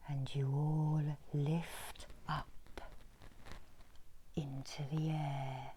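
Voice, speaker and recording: Very slow whispering woman guiding meditation. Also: low voice, flat delivery, mellow, a little hiss or hum. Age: middle-aged. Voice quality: breathy whisper. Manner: soothing, deliberate, with long pauses. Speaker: reiki healer guiding a meditation. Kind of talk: whispered ASMR guided meditation. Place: indoors, small room.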